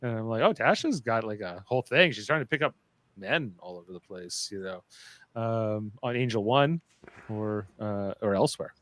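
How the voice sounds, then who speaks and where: A man's voice: on the low side, lively intonation, normal speed, a bit bright, no echo. A man hosting a casual fan podcast chat, talking in a rambling, joking way.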